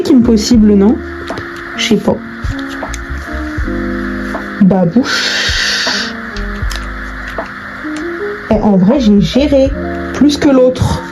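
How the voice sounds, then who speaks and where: Higher-voiced young woman chatting casually. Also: poor audio. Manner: informal, slangy, thinking aloud. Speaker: online video creator. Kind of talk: casual chat while crafting.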